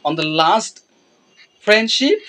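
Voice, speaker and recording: A man's voice: sits high, very animated, slow pace, bright, forceful tone, a little hiss or hum. Young Bangladeshi man, Bengali native, teasing video-call chat, easy laughter.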